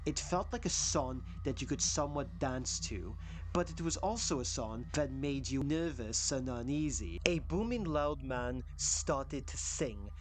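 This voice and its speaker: A man's voice: a little high, slight background noise. A young man from Wales with a dry, self-mocking humor, narrating a scripted commentary about a band. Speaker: video essayist.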